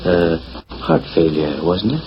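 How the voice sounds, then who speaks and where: An actor playing a smug, scheming blackmailer in a 1940s radio western, mocking and coolly threatening in a mock-genteel way. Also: male voice, low voice, expressive, takes it slowly. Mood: coolly menacing. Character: smug, menacing male villain, a scheming blackmailer. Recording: dull, faintly noisy 1940s radio recording.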